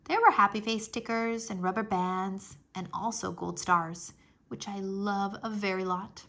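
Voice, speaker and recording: A woman reading a children's storybook aloud as narrator, expressively, with animated, childlike first-person storytelling. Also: mid-range voice, measured pace.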